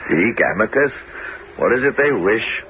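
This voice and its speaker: An actor playing Ulysses, a weary and proud wandering hero, speaking gravely in a 1970s radio drama. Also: male voice, animated, unhurried, a little hiss or hum. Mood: tense. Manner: deep, grave, turning to frantic shouting. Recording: dull old radio recording with loud music.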